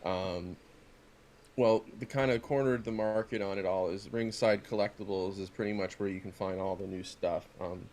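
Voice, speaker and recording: A man's voice: deep voice, fairly even intonation, moderate pace, darkish tone. A middle-aged man chatting casually and jokingly as a podcast co-host, in a rambling way. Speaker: podcast co-host and wrestling figure collector. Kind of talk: casual chat in an unboxing video.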